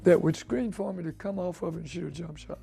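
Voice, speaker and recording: A man's voice: a little high, fast, creaky, dark tone, soft background music. A man in his eighties from the southern United States, casually reminiscing as an interviewee. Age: 80s. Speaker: interviewee, former college basketball player.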